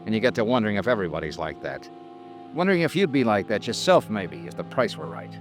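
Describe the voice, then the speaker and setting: An actor playing a world-weary, blunt American insurance investigator in a 1950s radio detective drama, speaking in clipped, cynical lines. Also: male voice, on the low side, big pitch swings, fast talker, faint music behind. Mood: weary, disgusted. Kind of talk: 1950s radio detective drama acting with first-person narration.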